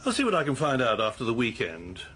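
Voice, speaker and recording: A man playing an earnest book researcher in a radio drama, with a husky voice and a hesitant, matter-of-fact manner. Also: medium pitch, huge pitch swings, fast, over loud music. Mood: earnest, dry.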